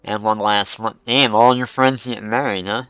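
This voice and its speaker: A man's voice: fairly low pitch, fairly even intonation, a little fast, a bit bright, clear, resonant tone, no echo, rough recording. A man in his forties chatting casually as he streams a game, rambling and musing aloud. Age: 40s. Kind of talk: casual live-stream chat while gaming.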